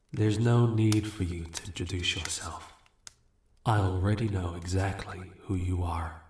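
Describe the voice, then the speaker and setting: A man playing a smug, manipulative archdemon in a fantasy audio roleplay, talking down to the listener in a deliberate, smooth-talking way. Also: deep voice, a little mellow, slight background noise. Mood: haughty, commanding. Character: smug, weary, manipulative male archdemon bargaining for contracts. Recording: roomy, echoing voice recording.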